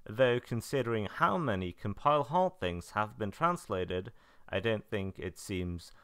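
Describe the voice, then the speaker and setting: A man narrating a semi-scripted review in a matter-of-fact way, with dry, deadpan asides. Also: on the low side, unhurried, smooth, dry recording. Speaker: video narrator reviewing game releases.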